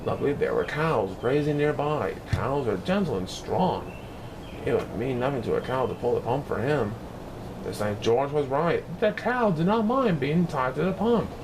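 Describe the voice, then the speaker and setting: A man narrating a children's storybook in an expressive, deliberate storytelling manner with dramatic emphasis. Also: mid-range voice. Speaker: storybook reader. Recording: clear background noise.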